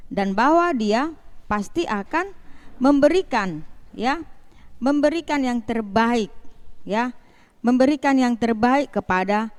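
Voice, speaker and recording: Higher-voiced woman teaching Sunday school. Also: clear, resonant tone. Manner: animated storytelling with rhetorical questions and repetition. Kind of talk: children's Bible story sermon.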